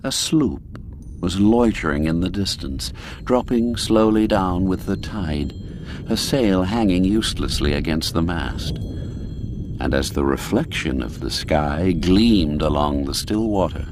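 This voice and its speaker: A low-voiced man narrates an old-fashioned story at an unhurried pace, with a swooping, expressive range. His delivery is theatrical and savors every ornate phrase. Music plays behind him. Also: noisy background. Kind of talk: scripted literary audiobook narration. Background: background music.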